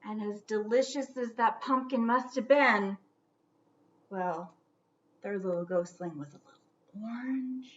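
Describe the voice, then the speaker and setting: A woman reading a children's storybook aloud theatrically, stretching out words and dropping into hushed whispers for suspense. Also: a little high, unhurried, pauses often, muffled recording.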